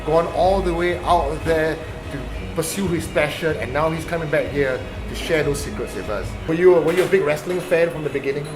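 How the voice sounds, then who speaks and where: A man's voice: fairly high pitch, fairly quick, music in the background. A man, hosting a casual interview with a joking, teasing manner. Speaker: host.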